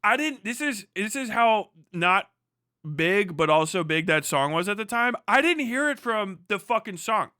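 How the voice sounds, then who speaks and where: A man's voice: high-pitched, lively intonation, normal speed, sharp tone, dry recording, clean studio sound. A man hosting a livestream, rambling casually with plenty of swearing and joking, exaggerated rants. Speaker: livestream host.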